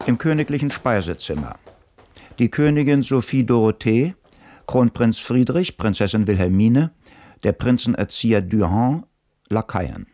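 A man calmly reading stage directions as the narrator of a radio play. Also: medium pitch, unhurried. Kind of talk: plain scripted announcement.

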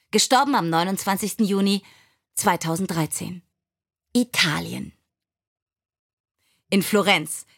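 A woman's voice: on the low side, fairly bright tone. A woman reading scripted biographical stories as an audiobook narrator.

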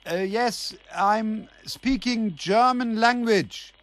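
A gullible man who is tempted by easy money. His voice sits somewhat high. He talks in a defensive, impatient, everyday manner, as in a naturalistic radio play. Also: drawn-out words. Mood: flustered. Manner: colloquial, impatient. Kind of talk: naturalistic radio drama dialogue.

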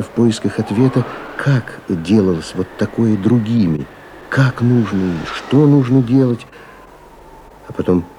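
A man narrating a radio drama as a sober storyteller, measured, literary and intent. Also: lowish voice, close to an everyday voice. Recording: noisy vintage radio recording.

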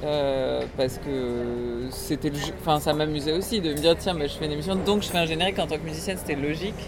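A woman musician chats about her work in an interview, pausing and restarting as she gathers her thoughts. She is recorded outdoors, with clear traffic noise around her. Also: low-pitched, steady tone. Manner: reflective, halting with many fillers and restarts. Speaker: musician and radio host, interview guest. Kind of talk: casual interview conversation. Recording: noisy street recording.

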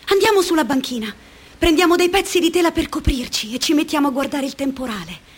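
A very high, thin voice belongs to a tired, dreamy young woman who aches all over, in a radio drama. She talks softly and wistfully, half lost in her own thoughts. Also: animated, quick pace. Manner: quiet, weary, dreamy. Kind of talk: Italian radio play acting.

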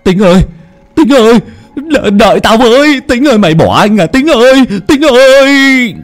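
A man voicing a frightened village man in a horror story, shouting after his brother. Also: medium pitch, big pitch swings, moderate pace, soft background music. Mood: frantic shouting. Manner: alarmed, shouting.

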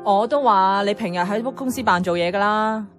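An actress playing a playful, animated young female office worker with a Hong Kong Cantonese accent in a radio drama. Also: mid-range voice, normal speed, over music, lightly acted. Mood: mock indignant.

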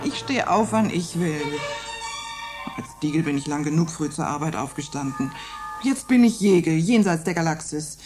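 A woman's voice: deep voice, lively intonation, moderate pace, over music. A woman acting in a radio play as a grumpy, defiant colony resident, sullen and whining.

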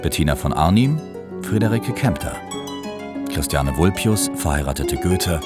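Male credits announcer, deep and expressive, scripted delivery, prominent plucked music underneath. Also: unhurried. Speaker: announcer of radio play credits. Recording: studio voice over loud music. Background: loud plucked harp-like music.

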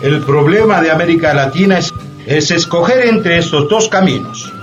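A spirited male schoolmaster, played by an actor in a radio play. His highish voice swings expressively as he exhorts pupils, over faint music in a muffled recording. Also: animated, moderate pace, close to an everyday voice. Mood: indignant, exhorting. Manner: didactic, rhetorical questioning. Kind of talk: radio theatre acting.

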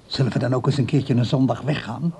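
An actor playing a lonely old man in a radio play, hesitant and husky, wistful but quick to defend himself. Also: medium pitch, steady tone, darkish tone, natural voice. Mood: tender, wistful. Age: older, over sixty.

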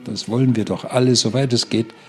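A man's voice: medium pitch, steady tone, fairly quick, natural voice. A man playing a darkly cheerful care-home inmate in a radio play, coaxing his companions with rhetorical questions. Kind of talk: radio play monologue and dialogue.

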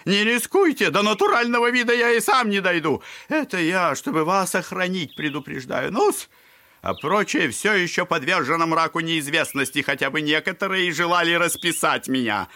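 A man's voice: high-pitched, expressive, normal speed, pushed and bright, heavily acted. An actor playing a garrulous, clownish old father in an audio drama, fawning and theatrical, rambling on with mock politeness. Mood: fawning, needling.

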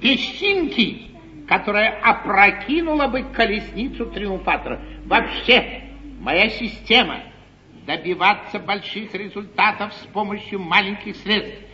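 An actor playing a sardonic, scheming English gentleman journalist in a 1950s stage comedy, declaiming with mocking, ornate politeness. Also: sits high, lively intonation, a little slow, heavily acted. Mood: mocking, theatrical. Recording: muffled, faintly noisy 1953 theatre broadcast.

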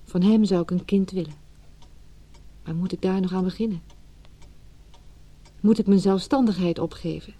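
An actress playing an anxious, doubting wife in a radio drama, hesitant and intimate, with a breathy, hushed delivery. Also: female voice, lowish voice, steady tone, moderate pace, pauses often, lightly acted. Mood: anxious, brooding. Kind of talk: radio drama acting with inner monologue.

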